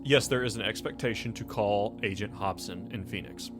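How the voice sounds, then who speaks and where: A man's voice: on the low side, moderate pace, over music. A man speaking casually as game master, narrating scenes and explaining his rulings. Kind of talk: running a tabletop roleplaying game in casual talk.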